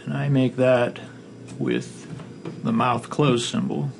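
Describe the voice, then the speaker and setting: Gravelly man narrating a tutorial. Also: medium pitch, flat delivery, slow. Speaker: tutorial instructor. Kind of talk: instructional screencast narration, informal. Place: indoor voice-over for a screen recording. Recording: faint background noise.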